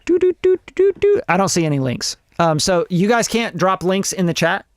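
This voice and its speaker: A man's voice: high-pitched, huge pitch swings, fairly quick, no room sound, clean studio sound. A man in his forties from Costa Rica, a music producer chatting on a livestream in a rambling, blunt, swearing way. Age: 40s. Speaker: music producer reviewing songs live. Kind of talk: casual livestream chat.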